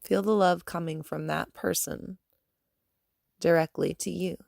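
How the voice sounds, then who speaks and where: Female meditation guide: calm and gentle, very unhurried, lowish pitch, long pauses between instructions. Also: gravelly, no room sound, clean studio sound. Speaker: yoga and meditation teacher.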